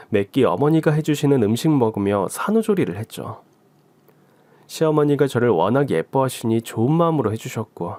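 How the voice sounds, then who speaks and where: A man narrating a story in the first person with a gentle reading manner. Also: mid-range voice, dry recording.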